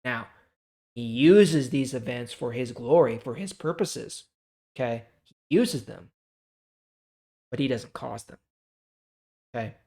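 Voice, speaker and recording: A man's voice: mid-range voice, lively intonation, takes it slowly, gravelly, long pauses, dark tone. A man hosting a Bible reading, explaining scripture informally and checking in with the listener.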